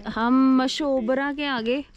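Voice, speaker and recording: A woman's voice: high-pitched, steady tone, takes it slowly, muffled recording. A young woman chatting casually on a travel vlog, mixing in English words. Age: young adult. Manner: mixes English words into talk. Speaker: travel vlogger. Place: outdoors on trails and in a moving car. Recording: noisy outdoor and in-car action camera.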